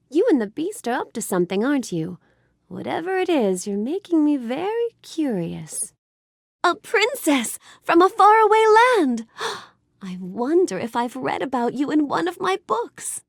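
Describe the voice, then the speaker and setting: A high-voiced, sweet young storybook heroine for a video game. Her lines swing melodically with bookish curiosity and polite warmth, gentle and full of wonder, in a close, dry studio sound. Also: dry recording, exaggerated voice. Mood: curious, intrigued. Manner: gentle, wonder-filled, courteous, expressive delivery. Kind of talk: animated game character dialogue.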